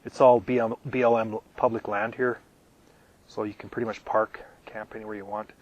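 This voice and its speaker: A man hosting a live question-and-answer stream, halting and full of ums, losing his voice and clearing his throat often, talking from his RV out in the desert. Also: lowish voice, little pitch movement, highs cut off. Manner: halting, with many ums and repeated words. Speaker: channel host answering viewer questions. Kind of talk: live casual Q&A.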